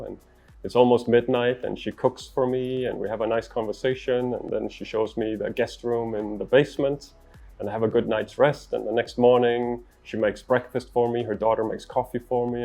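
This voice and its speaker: A man's voice: mid-range voice, gravelly, a little mellow. Danish-accented man with music behind him. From Denmark. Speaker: interviewed traveller and adventurer. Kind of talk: interview answers, storytelling.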